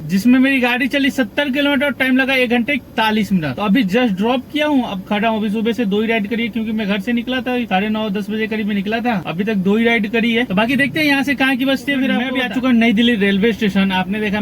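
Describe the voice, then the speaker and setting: A young man from Bihar talks through his taxi fares, tolls and waiting times in an easy, chatty way, his voice sitting fairly high. He records from inside his car with a phone or camera, and a faint hum of city traffic runs underneath. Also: poor audio. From Bihar, India. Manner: chatty, running through fares and waits in detail. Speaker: taxi driver vlogger. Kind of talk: casual driving vlog talk.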